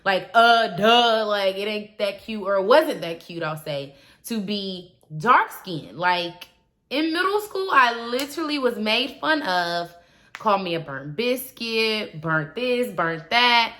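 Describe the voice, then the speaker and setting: A woman, talking casually and animatedly to her viewers, swinging between loud asides and quiet trailing remarks. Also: medium pitch, lively intonation, slow. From Milwaukee, Wisconsin. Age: 20s. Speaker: vlogger. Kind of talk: casual confessional vlog.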